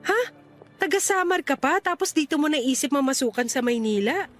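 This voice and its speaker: A woman's voice: high-pitched, animated, normal speed, faint music behind. A woman playing a friendly but guarded landlady in her thirties in a radio drama, chatty and teasing. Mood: teasing, frank. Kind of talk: radio drama acting in natural conversation.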